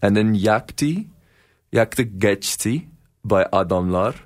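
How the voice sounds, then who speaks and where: A man's voice: lowish voice, animated, takes it slowly, no echo, clean studio sound. A young man from Iran co-hosting a campus radio show, talking casually with many fillers and restarts. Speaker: radio co-host, student union vice president.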